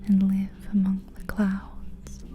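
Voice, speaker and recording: A woman whispering gently in a breathy, soothing ASMR style, guiding a relaxing visualization with long unhurried pauses. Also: mid-range voice, monotone, muffled recording, soft background music. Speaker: ASMR guide narrating a guided visualization. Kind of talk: soft-spoken ASMR guided visualization. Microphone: close-up ear-to-ear ASMR microphone.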